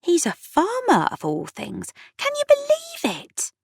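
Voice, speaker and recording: A woman's voice: very high voice, huge pitch swings, unhurried, vocal fry, darkish tone, no echo, clean studio sound, pitched above the performer's own voice, heavily acted. A woman voicing a blunt, gossipy, celebrity-obsessed young woman in an audiobook, incredulous and emphatic. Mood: incredulous gossip.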